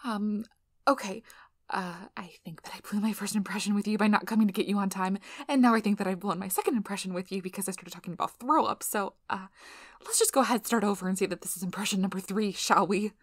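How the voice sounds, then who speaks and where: A very dry, intimate recording of a woman acting out a flustered young female researcher in an ASMR role-play. She speaks at a medium pitch, rambling and stumbling apologetically, and slips into breathy, whispered asides. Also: fast talker, no room sound, lightly acted. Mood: embarrassed. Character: nervous, rambling young woman PhD researcher of magical creatures.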